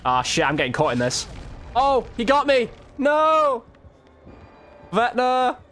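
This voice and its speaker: A man's voice: very high voice, sing-song, slow pace, fairly bright tone, soft background music. A man giving casual, informal gameplay commentary as a video host, with slang and mild swearing.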